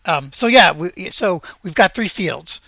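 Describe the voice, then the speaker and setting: A game master improvising tabletop narration in a casual, halting, conversational way. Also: mid-range voice, animated, gravelly, sharp tone, no room sound.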